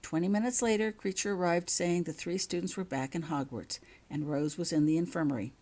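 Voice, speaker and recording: A woman's voice: on the low side, fairly quick, dark tone. A woman reading her own written story aloud as scripted audiobook narration.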